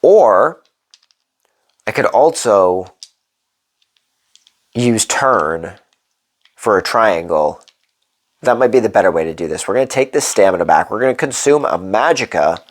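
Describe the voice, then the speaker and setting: A man's voice: fairly low pitch, animated, a little slow, pauses often. A congested man hosting a solo board game playthrough, thinking aloud as he works through the rules and dice rolls. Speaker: board game channel host.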